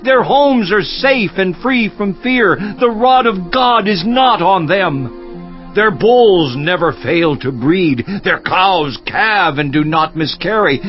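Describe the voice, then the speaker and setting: An anguished man of ancient scripture acted out by a male performer argues in a fairly high voice, impassioned and theatrical, with sweeping intonation. The recording is somewhat dull. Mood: indignant, bitter. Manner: impassioned, declamatory, rhetorical questioning. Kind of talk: dramatized scripture reading, theatrical monologue. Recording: dull, muffled audio with music behind.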